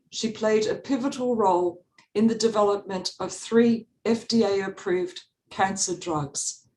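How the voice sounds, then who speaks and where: A woman's voice: medium pitch, a little hiss or hum, low-quality recording. A woman hosting an event, reading a polished, formal introduction of the moderator. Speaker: event host.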